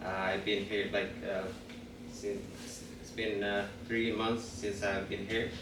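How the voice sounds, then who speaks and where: A man in his late twenties with an Ethiopian accent introduces himself in a halting, hesitant way. His voice is fairly low, recorded at a distance in an echoey room with clear noise behind it. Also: flat delivery. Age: late 20s. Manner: hesitant, halting with many pauses. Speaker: new immigrant student introducing himself. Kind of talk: informal self-introduction.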